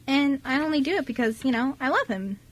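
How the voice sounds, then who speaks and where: A high, girlish voice from an actress playing a friendly teenager in a radio play. She is chatty and eager, with a bubbly, giggly way of talking to new people. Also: expressive, brisk. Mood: sincere. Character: bubbly, friendly fifteen-year-old girl working in family cafe. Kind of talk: amateur radio drama dialogue.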